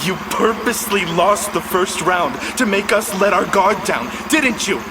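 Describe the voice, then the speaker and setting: An actor playing a tense, rich young team captain in a cartoon, urgent and indignant. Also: male voice, high voice, expressive, measured pace. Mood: accusing. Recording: clear background noise.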